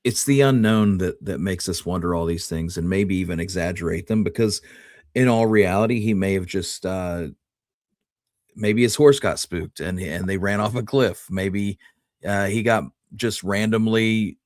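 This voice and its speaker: A man's voice: low-pitched, normal speed, a little mellow, no room sound, studio quality. A man co-hosting a history podcast, talking casually and thinking things through aloud. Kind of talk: casual conversational discussion.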